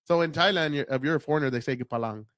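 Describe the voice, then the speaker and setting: A man's voice: fairly high pitch, fast talker, fairly bright tone, dry recording. Profane guy, dry close sound. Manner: loose, profane, says bro and dude a lot. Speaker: podcast guest. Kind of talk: casual podcast chat.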